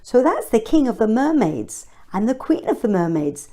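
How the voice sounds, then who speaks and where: A woman's voice: a little high, very animated, normal speed, dark tone. A woman reading a children's story aloud expressively, with chatty questions and asides for young listeners.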